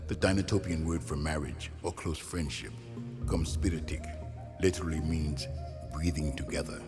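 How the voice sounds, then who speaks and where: A wise, calm guide from a fantasy island. His voice is deep and measured, explaining things with patient assurance. It is audio drama acting with music clearly underneath.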